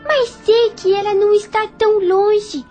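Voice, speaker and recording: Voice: very high-pitched, fairly even intonation, a little slow, darkish tone. A performer playing a sweet, earnest little girl in an audio novel. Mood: innocent, wistful. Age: child character. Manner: innocent, earnest. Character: sweet little girl missing her dead mother. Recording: music behind the voice.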